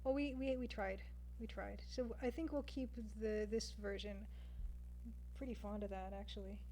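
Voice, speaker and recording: A woman's voice: mid-range voice, fairly even intonation, moderate pace, dark tone, slight background noise, rough recording. A woman with a Canadian accent chats casually to stream viewers, thinking aloud as she draws. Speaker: livestreamer, game artist. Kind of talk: casual chat with stream viewers while drawing.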